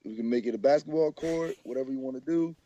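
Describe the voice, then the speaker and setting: A man co-hosting a podcast as a strength coach, his voice a little hoarse, talking in a laid-back way with lots of fillers. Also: mid-range voice, fast, mellow. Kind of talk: casual podcast chat.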